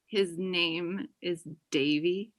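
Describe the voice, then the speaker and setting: A woman's voice: on the low side, steady tone, very slow. A young woman playing a chatty, overenthusiastic and possessive best friend in a comedy table read over a video call. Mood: indignant. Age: young adult. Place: indoor room on a video call.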